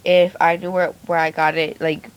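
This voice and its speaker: Raspy-voiced woman chatting through a makeup tutorial. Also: lowish voice, flat delivery, moderate pace. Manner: chatty, rambling, self-correcting. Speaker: beauty vlogger. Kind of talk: casual makeup tutorial narration. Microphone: phone camera.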